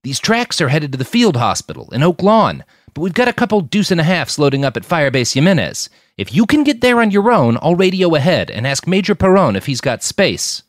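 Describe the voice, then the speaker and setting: A man's voice: a little high, sing-song, brisk, few pauses, no echo, studio quality, voice pushed up. A man voicing a grim, middle-aged militia officer in an audiobook, curt and mixing in Spanish words. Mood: resigned.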